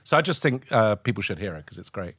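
A man's voice: lowish voice, big pitch swings, fast talker, fairly bright tone. A man who grew up in Lebanon, hosting a casual chat, talking in an enthusiastic, rambling way with many restarts. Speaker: podcast host.

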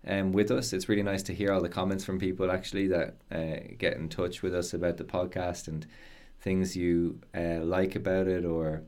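A man's voice: low-pitched, flat delivery, normal speed, few pauses, darkish tone. An Irish man hosting a podcast, chatting casually and laughing easily as he tells stories. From Ireland. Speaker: podcast host. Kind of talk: casual interview chat.

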